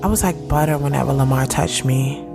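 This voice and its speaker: A woman in her thirties playing a sharp-tongued, moody woman who tells her own story in a candid, slangy audio drama. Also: very deep voice, brisk. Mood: sultry confiding. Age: 30s. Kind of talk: first-person audio drama narration and dialogue. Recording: music bed behind the voice.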